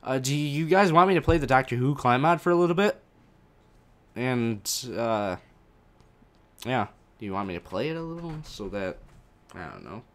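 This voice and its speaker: A man's voice: medium pitch, lively intonation, moderate pace, pauses often. A young man casually answering viewer questions about his game mod on a live stream, reading chat questions aloud and rambling a little. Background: faint game music.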